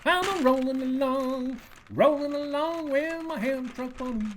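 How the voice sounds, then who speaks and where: A woman playing a friendly, easygoing aging hippie man in a radio play, husky and slangy. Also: high voice, measured pace, noisy background. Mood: carefree.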